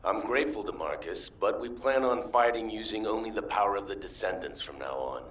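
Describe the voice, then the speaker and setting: An actor playing a steady, resolute front-line commander reporting in a video game. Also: male voice, lowish voice, brisk, distant mic, low-quality recording. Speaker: military commander.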